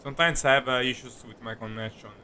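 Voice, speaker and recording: A man casually commenting on a live game stream, halting and jokey, quick to react to what happens. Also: medium pitch, steady tone, sharp tone, slight background noise.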